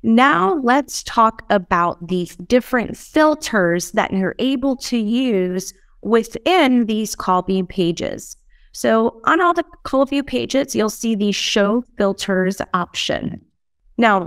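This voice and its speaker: A woman in her thirties explaining software step by step as a trainer, often checking in with short tag questions. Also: medium pitch, clear, resonant tone, no echo, studio quality. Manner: explanatory, checks in with "right?" and "okay?". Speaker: software trainer in customer support. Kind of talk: instructional screen-share walkthrough. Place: indoor room.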